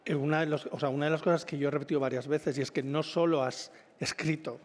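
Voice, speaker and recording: Higher-voiced Spanish man interviewing in a hall. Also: steady tone, quick pace. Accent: Spain. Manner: rambling, enthusiastic, self-correcting. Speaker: event presenter and interviewer. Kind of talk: informal public interview. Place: indoor launch event venue. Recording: reverberant event hall.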